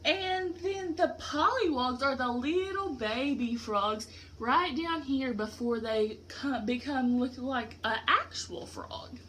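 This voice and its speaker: A woman's voice: high voice, takes it slowly, a bit bright, slight background noise. A woman teacher doing an interactive picture-book read-aloud for a class, explaining simply and putting questions to pupils.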